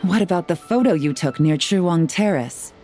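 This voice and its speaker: A composed female investigator played by a woman with a mellow, slightly low voice. She is wry and businesslike, in a video game acting style over faint music. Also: expressive, normal speed, close to an everyday voice. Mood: stern. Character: composed, dry-witted adult female investigator.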